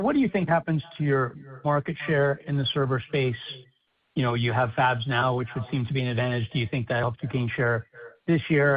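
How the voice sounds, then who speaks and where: A man speaking as a financial analyst, casually asking questions on a conference call. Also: medium pitch. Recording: dull conference call line.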